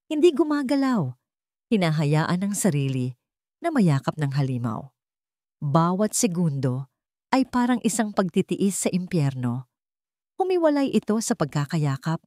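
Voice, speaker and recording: A woman's voice: lowish voice, warm tone, no echo, clean studio sound. A woman narrating a scripted dramatic story as an audiobook narrator.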